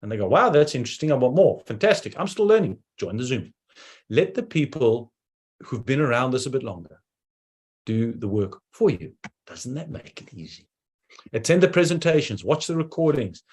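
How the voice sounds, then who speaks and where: A man with a South African accent giving a persuasive online presentation, talking in quick bursts with sudden slowdowns. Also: medium pitch, very animated, a little mellow, breathy edge.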